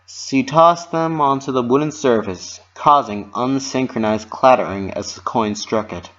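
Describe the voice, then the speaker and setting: A narrator reads a story aloud in a dramatic storybook style. The voice sits at a medium pitch. The home recording sounds a bit dull and lo-fi. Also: measured pace, fairly bright tone.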